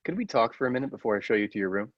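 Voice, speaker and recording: Guy doing radio play lab assistant, earnest, lowish raspy voice, dull audio. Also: expressive, racing, no echo. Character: earnest, persuasive male assistant to an inventor. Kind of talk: old radio play read-through. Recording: dull lo-fi, dry sound.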